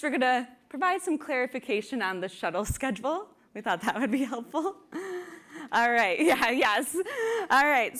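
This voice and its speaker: Bright, high-voiced woman hosting a convention. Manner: upbeat, hypes the crowd, laughs easily. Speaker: convention organizer and host. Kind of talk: live event hosting and announcements. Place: large ballroom.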